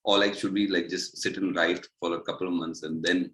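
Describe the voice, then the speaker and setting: Deep-voiced Indian man, rambling casually. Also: flat delivery, a little fast, fairly bright tone. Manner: rambling, peppered with "like". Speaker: musician, interview guest. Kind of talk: casual chat.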